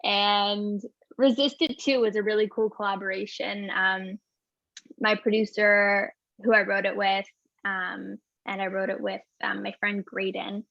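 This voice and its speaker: A woman's voice: mid-range voice, little pitch movement, unhurried, gravelly, fairly bright tone, no echo. Bubbly young woman, dull dry sound. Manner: bubbly, says "like" constantly, laughs often. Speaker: singer-songwriter guest. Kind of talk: casual interview chat.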